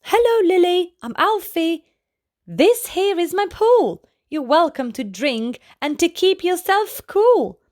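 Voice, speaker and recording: Eager storybook alligator, friendly and bright, done by a woman, very high and bouncy. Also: animated, slow pace, heavily acted.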